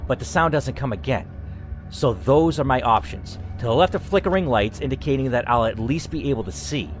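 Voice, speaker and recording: A man's voice: mid-range voice, a little hiss or hum. A young man hosting a gaming channel, reading an interactive story game aloud and breaking off into casual, swearing asides.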